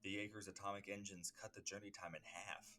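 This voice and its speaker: A man voicing a solemn, grieving superhero in a comic dub, speaking in a measured, formal, grave way. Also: fairly low pitch, level tone, pushed and bright, soft background music. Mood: grave, haunted. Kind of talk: dramatic comic-book dub monologue.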